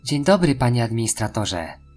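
A woman's voice: extremely low pitch, very animated, normal speed, a little mellow, faint music behind, exaggerated voice. A woman playing a deferential female research scientist, giving a formal, clinical briefing in an audio drama.

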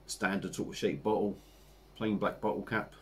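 Deep-voiced english guy reviewing a beer to camera at his home bar, chatty and rambling, slight background hum. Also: moderate pace. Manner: chatty, rambling, relaxed, with frequent fillers. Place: home bar room with dartboard and signs.

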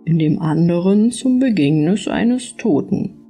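Woman as a calm, kindly wandering Lord. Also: fairly low pitch, unhurried, soft background music. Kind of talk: fairy-tale audiobook character.

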